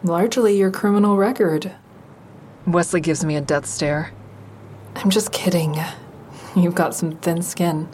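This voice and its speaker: A woman's voice: fairly low pitch, unhurried, long pauses, darkish tone, slight background noise, natural voice. An actress playing a guarded, wry woman who narrates her troubled romance in an intimate, confiding audio drama style. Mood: dry, teasing. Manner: confiding, dry, introspective.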